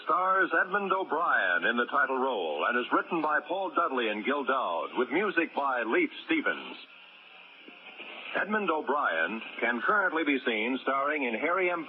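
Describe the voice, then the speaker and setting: A man announcing in a formal, crisp 1940s radio announcer style. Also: a little high, a little hiss or hum. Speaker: network announcer. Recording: tinny 1940s radio broadcast with music.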